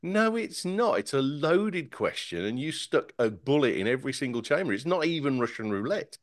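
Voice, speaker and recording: A man on a casual tech podcast panel, talking in an animated, sarcastic way with many stumbling restarts. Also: medium pitch, normal speed, dry recording, clean studio sound.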